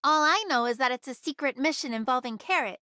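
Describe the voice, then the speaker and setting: High, bright, perky anime girl. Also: fairly quick, dry recording, clean studio sound. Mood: serious, explaining. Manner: perky, expressive. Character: bright, bold, mischievous young anime girl sidekick. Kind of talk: anime video game voice acting.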